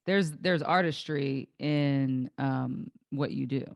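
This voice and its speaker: A woman in her late twenties hosting a casual interview, friendly and encouraging with quick short replies. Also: very deep voice, creaky, darkish tone, no room sound. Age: late 20s. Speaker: podcast host.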